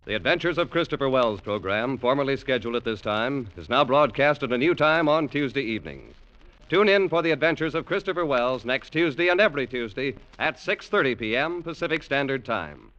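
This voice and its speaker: A man's voice: mid-range voice, expressive, a little fast, fairly bright tone. An American man announcing on 1940s network radio in a formal, emphatic way. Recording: muffled vintage radio recording.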